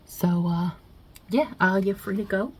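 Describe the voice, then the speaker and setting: A woman's voice: medium pitch, steady tone, unhurried, slight background noise, close to an everyday voice. A woman voicing God as a brash, short-tempered, non-binary Italian mob boss in an ASMR roleplay, with a put-on Italian-New York accent and a blunt, chummy, rambling delivery. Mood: casual. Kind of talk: ASMR roleplay interview, improvised.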